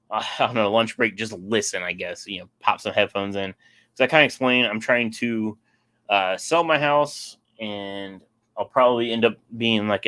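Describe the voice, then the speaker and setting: A man's voice: fairly low pitch, bright, forceful tone, smooth. A young man casually hosting a live stream, rambling and answering chat, his voice getting sore.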